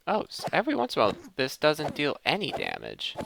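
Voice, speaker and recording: A man's voice: fairly high pitch, huge pitch swings, brisk, gravelly, music in the background. A man hosting a gameplay video, thinking aloud in a casual commentary addressed to his viewers. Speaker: host of a gaming let's play series.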